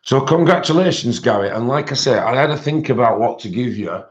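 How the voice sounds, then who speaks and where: A man with a British accent and a croaky voice, hosting a casual livestream and chatting with viewers, rambling with many fillers. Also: medium pitch, animated, brisk. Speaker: livestream host. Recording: dull lo-fi stream audio.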